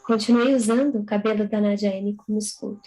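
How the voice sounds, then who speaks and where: Young brazilian woman writer on video call, flat even voice, reads and chats. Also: medium pitch, fast talker, poor audio. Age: young adult. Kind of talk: reading own prose aloud, then conversation.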